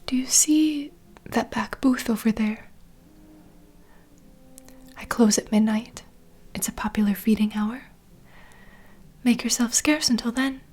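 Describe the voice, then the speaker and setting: A woman playing a nurturing, gossipy vampire bartender in an ASMR fantasy roleplay, speaking in a soft, breathy, confiding whisper. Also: a little high, measured pace, pauses often. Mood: confiding, protective. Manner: intimate, confiding, gentle.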